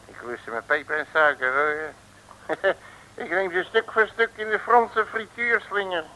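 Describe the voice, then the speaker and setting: An actor playing a cocky, blustering detective in an old radio comedy, folksy and full of exclamations. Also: male voice, high voice, unhurried, sharp tone. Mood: cocky grumbling. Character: blustering cocky male detective, colourful curser and brawler. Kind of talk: 1960s radio comedy acting. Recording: crackly old radio recording.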